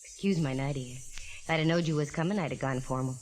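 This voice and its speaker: A woman's voice: low voice, brisk, darkish tone, close to an everyday voice. An American actress playing a plucky, wry, slangy female private eye in a 1940s radio detective drama. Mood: coaxing. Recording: vintage 1940s radio recording, music behind.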